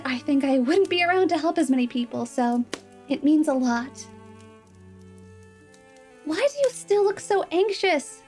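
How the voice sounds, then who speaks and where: A woman playing an earnest, idealistic, excitable young female adventurer in a fantasy roleplay, with a slightly nasal voice. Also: high-pitched. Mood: reassuring. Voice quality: nasal from a cold. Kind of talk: roleplay audio drama, cute.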